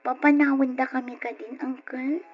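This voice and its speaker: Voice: high voice, flat delivery, normal speed, lightly acted. An actor playing an earnest older orphan sibling in an old radio drama, sincere and pleading, with an Ilocano accent. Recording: dull lo-fi radio recording with music.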